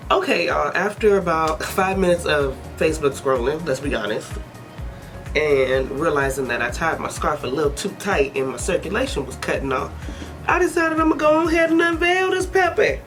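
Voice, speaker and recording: A woman's voice: deep voice, animated, normal speed, music in the background. A woman giving a casual hair tutorial, chatty and joking, sniffly with a head cold. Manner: chatty, joking, talks to herself. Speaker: hair vlogger.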